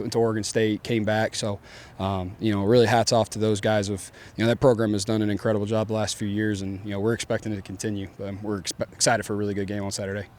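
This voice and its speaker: A man's voice: on the low side, darkish tone. A man with an East Texas accent, a football coach giving casual, upbeat press conference answers full of fillers.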